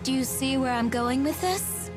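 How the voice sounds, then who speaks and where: A haughty female spirit from a fantasy game acted by a woman, with a high voice over prominent music. She speaks in formal, imperious, carefully enunciated phrases, fiercely bound to duty. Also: normal speed, lightly acted. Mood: cold, scornful. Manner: formal, imperious, precise diction. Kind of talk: dramatic video game voice acting.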